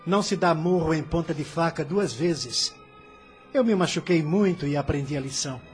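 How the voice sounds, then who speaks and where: A man playing a high, forceful returned son. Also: moderate pace, soft background music, close to an everyday voice. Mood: persuasive. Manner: commanding, then pleading. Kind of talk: radio drama acting.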